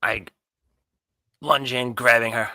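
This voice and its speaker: A man's voice: medium pitch, steady tone, slow, sharp tone, no room sound, studio quality. A man narrating his own actions in a tabletop roleplay game, theatrical and intense. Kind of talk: dramatic first-person roleplay narration.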